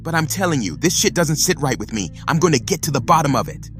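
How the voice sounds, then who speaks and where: A man's voice: on the high side, animated, quick pace, fairly bright tone, soft background music, voice pushed up. A man playing a tense, troubled but determined man who mutters heavily in an audio drama. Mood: grim resolve. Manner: muttering, heavy.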